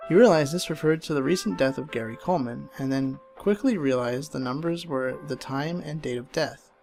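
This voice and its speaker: Creaky-voiced man narrating over music. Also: fairly high pitch, brisk, darkish tone. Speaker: narrator reading online horror stories. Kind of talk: scripted creepypasta narration. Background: game music under the voice.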